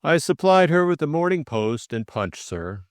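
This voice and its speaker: A man voicing a stiff, deferential valet in an audiobook, speaking in a measured, unflappable, scrupulously polite way. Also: on the high side, very animated, no echo, clean studio sound, pitched above the performer's own voice. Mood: dutiful, composed. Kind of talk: audiobook character acting.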